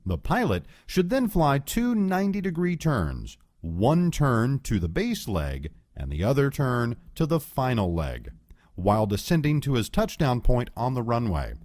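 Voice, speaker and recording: A man reading scripted educational narration as an instructor, explaining in a clear, measured way. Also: mid-range voice, wildly swooping pitch, a little mellow, dry recording, clean studio sound.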